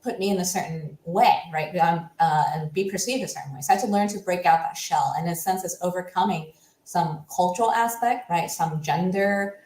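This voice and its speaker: Animated woman guest in an echoey room. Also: on the low side, brisk. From United States. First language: Cantonese. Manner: animated, ends points with "right?". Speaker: coach, interview guest. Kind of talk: casual interview chat.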